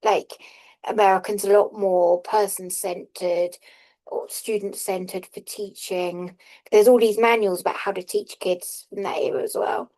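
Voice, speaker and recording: A woman speaks over a video call, halting and self-deprecating, with plenty of fillers. Her pitch stays level and even. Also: poor audio. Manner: hesitant, many fillers, self-deprecating laughs. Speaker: workshop participant. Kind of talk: casual chat in an online workshop.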